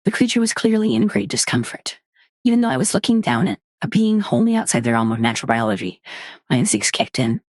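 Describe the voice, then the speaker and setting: Female horror narrator, animated delivery, dry close recording. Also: no echo. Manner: measured storytelling, drops low and quiet for tense moments. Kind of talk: dramatic reading of a horror story.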